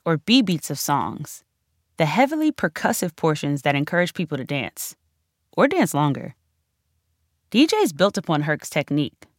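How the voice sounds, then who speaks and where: A woman narrates a scripted audiobook with the ease of someone telling a story to friends. Her voice sits slightly low but moves with highly varied intonation, leaning into key phrases. The recording is close and very dry. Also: dry recording. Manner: conversational, emphatic storytelling with casual slang. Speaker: author narrating own audiobook.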